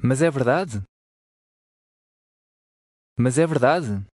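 A man's voice: mid-range voice, animated, extremely slow, a little mellow, no echo, clean studio sound. A man with a European Portuguese accent, carefully repeating model phrases in a language course. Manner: clear, careful repetition of phrases.

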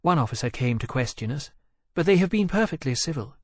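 A man doing a composed, quiet lady. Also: a little high, lively intonation, dry recording, voice pushed up. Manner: quiet and measured. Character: composed young mother, a woman. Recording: dry close mic.

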